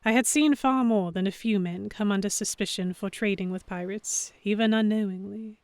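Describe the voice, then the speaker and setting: A mid-range female voice from an actress narrates as the earnest heroine of a historical audio drama. She is measured, introspective and self-conscious. The sound is very dry, with no room echo. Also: mid-range voice, close to an everyday voice. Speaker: narrator and character. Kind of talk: scripted first-person audio drama narration.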